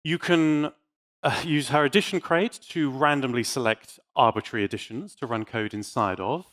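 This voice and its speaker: A man's voice: medium pitch, moderate pace, fairly bright tone. A man giving an informal conference talk on tech in a playful, dryly joking and mock-defiant manner. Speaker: conference speaker.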